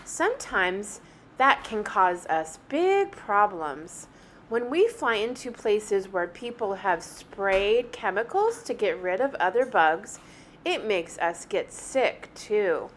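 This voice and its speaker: A woman reading a picture book aloud like a teacher, with animated, deliberate phrasing meant for young children. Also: medium pitch, slight background noise. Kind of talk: expressive children's story read-aloud.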